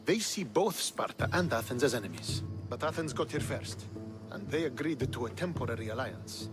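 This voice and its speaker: A man's voice: medium pitch, expressive, moderate pace, natural voice. Male actor, stern Spartan commander, curt, skeptical, commanding, dramatic game dialogue, music behind. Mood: grave, businesslike. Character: stern, dismissive Spartan army commander of ancient Greece.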